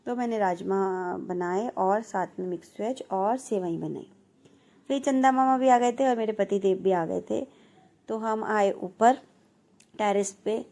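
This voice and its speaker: Slightly hoarse woman from india in her 30s, chatty home vlog voiceover, warm mellow tone, easy and relaxed. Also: mid-range voice, a little slow. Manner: chatty, relaxed, self-teasing. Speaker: vlogger. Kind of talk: casual voice-over narration.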